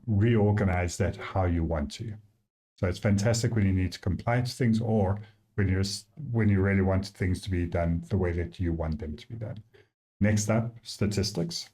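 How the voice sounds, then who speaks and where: Man, South African accent, software walkthrough, thinking aloud, fairly low voice, warm tone. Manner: thinks aloud, loose and chatty with fillers. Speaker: app developer giving a demo.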